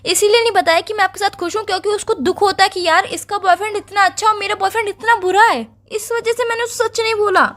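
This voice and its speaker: A woman acts out an accused young girlfriend in a phone-call breakup drama. Her voice is extremely high and she talks fairly fast. She is defensive and earnest, pleading insistently to be believed. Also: steady tone, fairly bright tone. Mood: pleading, hurt.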